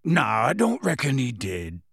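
A man voicing a gruff, kindly giant groundskeeper in a storybook reading, with halting, folksy, colloquial speech. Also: medium pitch, very animated, fairly bright tone, dry recording, clean studio sound, heavily acted. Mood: soft, sombre.

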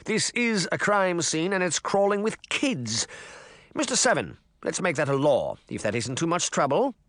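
A man's voice: fairly high pitch, sing-song, normal speed, a bit bright, no room sound, studio quality, pitched above the performer's own voice. A man voicing a pompous, sneering male mayor who bullies everyone around him, with a raised, condescending voice.

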